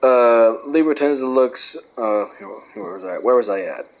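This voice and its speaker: A man's voice: mid-range voice, sing-song, moderate pace, creaky, rough recording. A young man reading a book aloud and breaking off into rambling, profane rants. He says he is losing his voice. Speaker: host.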